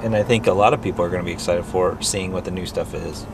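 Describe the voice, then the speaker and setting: A man's voice: low-pitched, fairly even intonation, quick pace, noisy background. A middle-aged man chatting casually on a road trip vlog from inside a car, teasing his friend and laughing often. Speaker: vlogger. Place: inside a car, partly outdoors by a highway.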